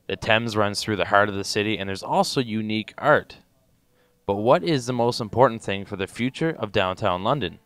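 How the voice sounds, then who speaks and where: Smooth, dry-sounding male narrator. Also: lowish voice, brisk, a bit bright, dry recording. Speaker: reporter narrating a short documentary. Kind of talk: scripted narration.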